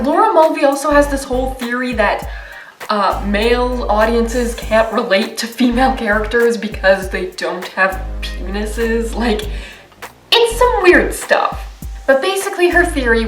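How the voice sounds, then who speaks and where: A woman's voice: a little high, expressive, moderate pace, roomy sound, far from the mic, soft background music. A woman narrating a scripted video essay, with a wry, conversational manner and offhand asides.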